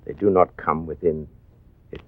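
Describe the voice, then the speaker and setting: A man's voice: very deep voice, fairly even intonation, moderate pace, dry recording. A man acting a menacing, jealous rival in a 1950s radio drama, stiffly polite and precise, with veiled threat. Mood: veiled menace. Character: menacing, formal, jealous male rival villain. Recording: thin, phone-like vintage radio recording.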